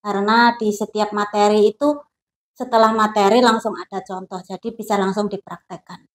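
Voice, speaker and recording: A woman from East Java answering interview questions with short, plain replies and soft affirmations. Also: medium pitch, level tone, normal speed. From East Java, Indonesia. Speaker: guest, hypnosis alumna.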